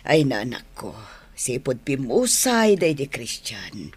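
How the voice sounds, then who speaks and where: An actress with an Ilocano accent playing a doting middle-aged mother who longs for a grandchild, in a husky, emotional voice for radio drama. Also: a bass voice, sing-song, takes it slowly, full character voice. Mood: pleading, emotional. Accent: Ilocano, northern Philippines.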